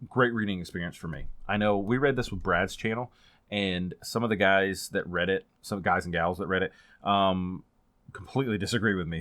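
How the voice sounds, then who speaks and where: A man talking casually as a book reviewer in a rambling, informal way that gets animated on points of emphasis. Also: deep voice, dry recording. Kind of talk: casual chatty book vlog.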